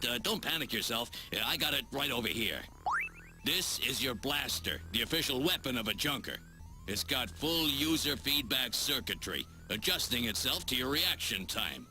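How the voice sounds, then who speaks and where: A man's voice: mid-range voice, sharp tone. A man voicing a chatty, eccentric gadget inventor in a video game, with a folksy, rambling way of talking.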